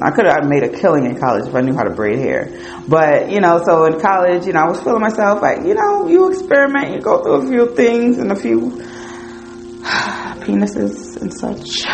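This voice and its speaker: A woman's voice: mid-range voice, low-quality recording. Candid woman telling her story over music. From New Jersey, United States. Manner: candid, conversational, lots of fillers. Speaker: podcast host. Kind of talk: personal storytelling monologue. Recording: music playing behind the voice.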